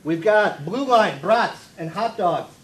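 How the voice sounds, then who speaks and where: A man giving an informal presentation at a live press event, speaking in a halting, jokey way. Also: high-pitched, animated. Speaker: food and beverage presenter at a press conference. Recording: clearly noisy live event with room ambience.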